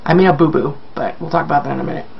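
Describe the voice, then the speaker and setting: Male vlogger, sick and very creaky, self-deprecating casual chat, slightly dull home recording with background noise. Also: a little high, rapid-fire. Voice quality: croaky. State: sick, croaky. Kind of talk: casual video update to viewers.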